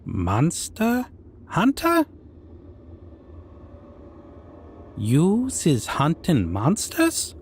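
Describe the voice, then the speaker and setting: A man's voice: medium pitch, a little hiss or hum, pitched above the performer's own voice, exaggerated voice. A man voicing a proud, amused, menacing goblin king who speaks in broken grammar and musing hums. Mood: questioning. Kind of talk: roleplay audio monologue.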